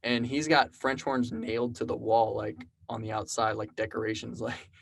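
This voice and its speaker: A man in his twenties from South Texas, telling stories casually as a podcast guest, relaxed and rambling with lots of "like" and "you know". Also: medium pitch, moderate pace, creaky, husky. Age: 20s. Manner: relaxed, rambling storyteller with many fillers. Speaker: podcast guest, programmer and musician. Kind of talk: casual conversational interview.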